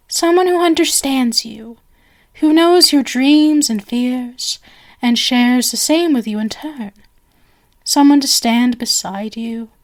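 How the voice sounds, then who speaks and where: A woman's voice: on the high side, close to an everyday voice, low-quality recording. A woman playing a genial but sinister stage magician in an ASMR roleplay, with flowery, theatrical, coaxing speech. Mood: wistful, yearning.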